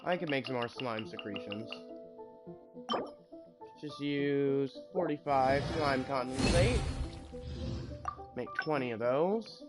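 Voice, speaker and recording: A man gives casual let's play commentary in a medium-pitched voice. He thinks aloud as he goes. Loud game music and clinking effects run behind him. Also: lively intonation, slow pace, long pauses. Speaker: game streamer.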